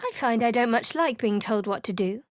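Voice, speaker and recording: A woman's voice: on the high side, animated, normal speed, no room sound, natural voice. An actress playing a guarded, sardonic young woman cleric in a video game, speaking dryly and wryly. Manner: dry, wry and guarded. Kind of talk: video game character voice lines. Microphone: close studio mic.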